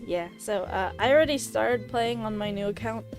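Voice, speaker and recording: Casual female game streamer, chatty and giggly, clear background music. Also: medium pitch, normal speed, darkish tone. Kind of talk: casual livestream chat about a game.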